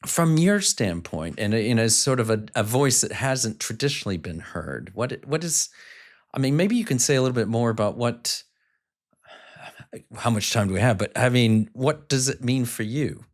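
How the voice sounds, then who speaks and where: A man's voice: medium pitch, lively intonation, moderate pace. A man in his fifties from the United States hosting a podcast, asking long, circling questions. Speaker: podcast host.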